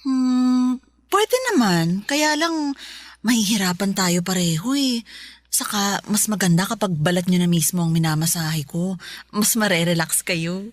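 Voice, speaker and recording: A woman's voice: mid-range voice, measured pace, dry recording, lightly acted. Polite, caring Filipino masseuse, acted by a woman, close and dry. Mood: friendly, reassuring. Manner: deferential, chatty, reassuring. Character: polite, caring young female spa masseuse. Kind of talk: Filipino radio drama dialogue.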